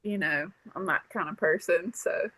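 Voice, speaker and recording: A woman's voice: fairly low pitch, normal speed, pushed and bright, slightly rough, poor audio. A woman in her thirties, a podcast guest, chatting casually and laughing often. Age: 30s. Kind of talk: casual interview chat.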